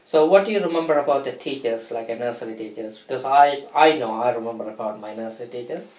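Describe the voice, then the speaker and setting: A man's voice: medium pitch, quick pace, darkish tone. A man interviews a child as an educator, speaking with phrasing and encouraging him often with "great" and "brilliant". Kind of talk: interview questions. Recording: roomy, from a distance.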